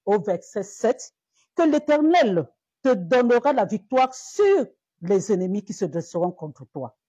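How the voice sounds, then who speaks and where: A woman's voice: mid-range voice, dark tone, slightly rough, dry recording. A woman pastor from Cameroon preaching in a live-streamed sermon, repeating words and rising to emphatic peaks.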